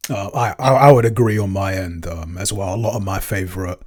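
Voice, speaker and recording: A man's voice: sits low, brisk. A man co-hosting a podcast in casual chat, hesitant, with many "um"s and restarts. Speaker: podcast co-host, producer.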